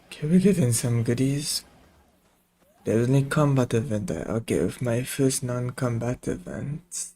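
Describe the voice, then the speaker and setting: A man's voice: mid-range voice, measured pace, dark tone. A man giving casual gameplay commentary, muttering short remarks to himself as he plays. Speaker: game streamer.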